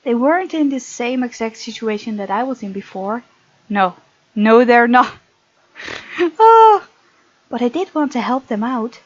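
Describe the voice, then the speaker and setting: A woman's voice: fairly high pitch, expressive, unhurried, pauses often, highs cut off. A woman hosting a gaming video, reading visual novel text aloud with chatty, giggly commentary.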